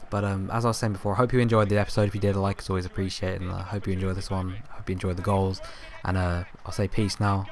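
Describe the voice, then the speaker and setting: A young man with a hoarse, fading voice, chatting casually over his football game footage, rambling with lots of ums and restarts. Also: low voice, level tone, racing, few pauses. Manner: rambling, filler-heavy, self-correcting. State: hoarse, coughing, voice going. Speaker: gaming YouTuber. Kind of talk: casual gameplay commentary.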